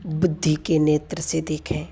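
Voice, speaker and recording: A woman leading a guided meditation commentary in a calm, soft manner with long pauses. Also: low-pitched, husky. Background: background music.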